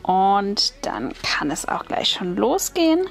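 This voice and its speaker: A woman's voice: mid-range voice, wildly swooping pitch, slow, gravelly, soft background music. A woman with a German accent chatting casually while unpacking and crafting at a table, thinking aloud with hums and small laughs. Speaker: crafting video host. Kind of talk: casual unboxing and crafting commentary. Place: indoors at a wooden table. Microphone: overhead camera, hands only.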